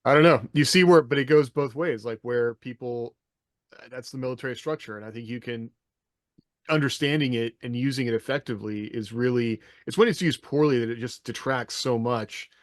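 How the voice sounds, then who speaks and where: A man's voice: medium pitch, a little fast, no echo, clean studio sound. A middle-aged man in a casual podcast discussion, thinking aloud in a loosely structured way. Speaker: podcast co-host. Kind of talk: casual panel discussion of writing. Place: indoor room on a video call.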